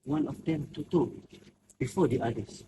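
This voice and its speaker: A man's voice: medium pitch, normal speed, close to an everyday voice. A man playing a hesitant, uneasy stranger in an amateur stage drama, speaking tentatively. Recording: noisy ambient background. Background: clear ambient noise.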